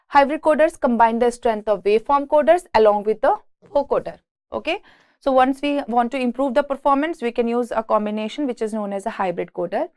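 High-voiced Indian-accented woman lecturing. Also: a little mellow, dry recording, clean studio sound. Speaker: engineering lecturer. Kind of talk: lecture reading and explaining slides. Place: indoor lecture room at a presentation board.

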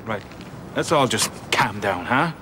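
A middle-aged actor with an Irish accent playing an uneasy, placating nightclub owner, pleading and appeasing in a television drama. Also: male voice, on the low side, expressive, a little slow, noisy background. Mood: nervous placating. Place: outdoor car park.

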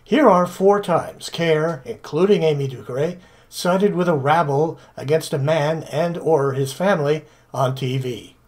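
Man, rather high voice, husky, scripted polemical narration. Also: unhurried, hardly any pauses.

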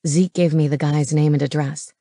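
Woman voicing a low, gruff, brainy man. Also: steady tone, normal speed, dark tone, no room sound, studio quality. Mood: focused. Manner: terse, matter-of-fact. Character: gruff, brainy comic-book-fan man, terse and intense. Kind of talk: audiobook character dialogue.

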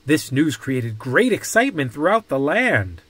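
A man reading a children's picture book aloud with deliberate, dramatic phrasing. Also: fairly high pitch, big pitch swings, fairly bright tone, a little hiss or hum. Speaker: storybook reader.

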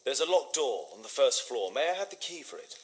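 An actor playing a composed Victorian master detective in a video game, formal, clipped and coolly inquisitive. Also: male voice, medium pitch, very animated, fairly quick, slight background noise, natural voice. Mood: analytical. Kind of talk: video game dramatic acting.

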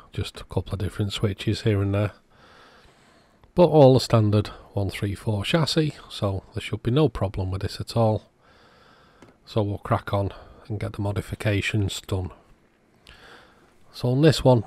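A man's voice: on the low side, a little mellow, no room sound. A man giving a casual, matter-of-fact workbench narration as the host of an electronics repair video.

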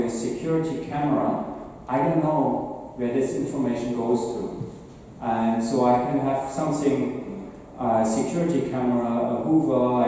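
A man's voice: medium pitch, mellow. Echoey, distant German-accented man presenting. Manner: halting, hesitant phrasing with repeated words. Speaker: conference speaker. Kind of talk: informal presentation on cybersecurity. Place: indoor meetup presentation room with seated audience. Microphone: distant camera at back of room. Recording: roomy echoing hall, distant mic, faint noise.

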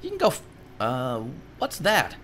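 A man voicing an exasperated, sarcastic adventurer in a game, in an excitable, theatrical manner. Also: on the high side, unhurried, heavily acted. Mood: impatient. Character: exasperated, sarcastic male adventurer and new homeowner.

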